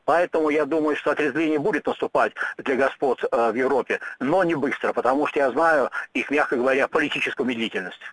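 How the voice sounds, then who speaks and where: A man answering questions in a radio interview, speaking in firm, emphatic statements broken by frequent "uh" pauses. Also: mid-range voice, fairly bright tone, no room sound, low-quality recording.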